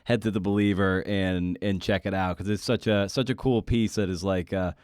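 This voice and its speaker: A man's voice: deep voice, fairly even intonation, moderate pace, a little mellow, smooth, dry recording, clean studio sound. A man hosting a podcast in an informal, rambling, conversational way. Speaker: podcast host.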